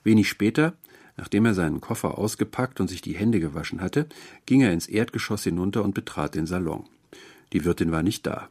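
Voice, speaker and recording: A man's voice: low-pitched, animated, fairly quick, a little mellow, slightly rough. A man reading a literary story as narrator, in scripted narration.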